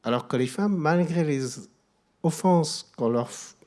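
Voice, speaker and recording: A man's voice: fairly high pitch, a little slow, a little mellow. A middle-aged man from Afghanistan with an Afghan accent, speaking as a guest at a public event. He is earnest and hesitant and often repeats words as he searches for them. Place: hall at a public evening event.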